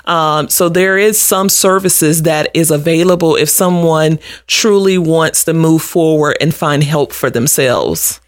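A woman's voice: sits low, measured pace, few pauses, no room sound, clean studio sound. A middle-aged woman answering interview questions as an advocate, explaining things earnestly in a conversational way. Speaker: guest, domestic abuse advocate.

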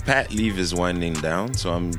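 Deep-voiced man in his thirties. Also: expressive, moderate pace. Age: 30s. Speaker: podcast guest. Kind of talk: casual chat. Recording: loud music under the talk. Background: music.

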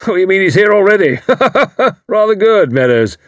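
A man voicing a hearty, blustering older British commander with an upper-class English accent and a loud, barking, clipped delivery in an audiobook. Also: high-pitched, big pitch swings, moderate pace, dry recording, clean studio sound, voice pushed up. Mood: blustering, indignant. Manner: bluff, emphatic, clipped phrasing.

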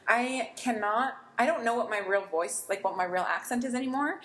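A woman's voice: fairly high pitch, normal speed, fairly bright tone, echoey room. A woman co-hosting a casual book podcast, chatty and rambling, laughing easily as she talks. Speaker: podcast co-host.

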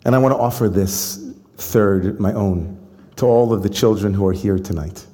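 A man giving a sermon as a rabbi, telling a story with rising emphasis and then slowing into soft, reflective phrases. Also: on the low side. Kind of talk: sermon to a congregation. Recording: long hall reverb.

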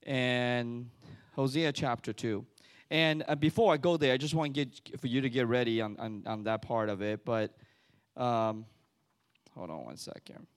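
A man's voice: medium pitch, normal speed, smooth. A middle-aged man from Taiwan, a church elder, sharing his testimony in a conversational sermon with many restarts and fillers.

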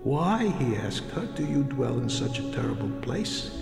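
An actor playing a soft-spoken grandfather in his seventies, deliberately reading a letter with a parable in a radio drama. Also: mid-range voice, big pitch swings, vocal fry. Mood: solemn. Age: 70s. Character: big, soft-spoken immigrant grandfather, principled letter writer. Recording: reverberant hall, loud music behind.

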